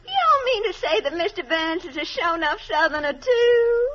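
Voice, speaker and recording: A woman's voice: very high-pitched, very animated, moderate pace, fairly bright tone, exaggerated voice. An actress playing a sweet, gullible young college girl with a Southern American accent and girlish drawl in old-time radio comedy. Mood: curious, sympathetic. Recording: muffled vintage radio, audience behind.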